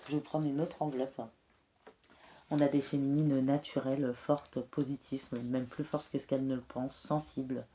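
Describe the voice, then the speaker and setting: Soft-spoken woman with a cold, monotone. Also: very deep voice, a little hiss or hum. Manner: soft, hesitant, many filler pauses. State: has a cold, clears throat often. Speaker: tarot and oracle card reader. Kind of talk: spiritual guidance reading.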